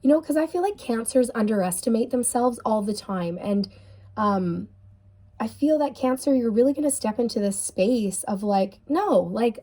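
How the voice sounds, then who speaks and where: A woman's voice: a little high, mellow. A woman giving a casual tarot reading in an earnest, intuitive way, her voice tired and sniffly. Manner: earnest, intuitive, many fillers like "like" and "um". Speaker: tarot reader.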